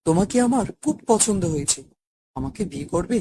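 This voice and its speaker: A woman voicing a smitten, commanding young prince in a cartoon story, with a husky voice. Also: on the low side, expressive, fairly quick, warm tone, a little hiss or hum, lightly acted.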